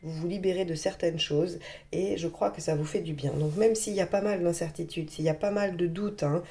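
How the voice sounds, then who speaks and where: Hoarse woman giving a tarot reading. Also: lowish voice, steady tone. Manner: gentle, reassuring, many filler pauses. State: hoarse from a cold. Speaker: tarot reader. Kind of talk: tarot card reading for viewers.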